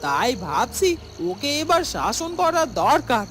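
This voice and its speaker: A woman's voice: sits high, sing-song, unhurried. A woman voicing the sly, scheming wife of a village headman in a cartoon, theatrical and wheedling. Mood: placating, wheedling. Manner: theatrical, wheedling, self-questioning. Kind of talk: dramatic cartoon voice acting. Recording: music behind the voice.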